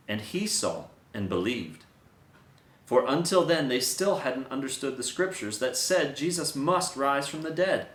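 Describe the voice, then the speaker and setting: A man's voice: medium pitch, a bit bright. A man giving a dramatic, scripted reading of a Bible story as a storyteller. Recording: echoey room.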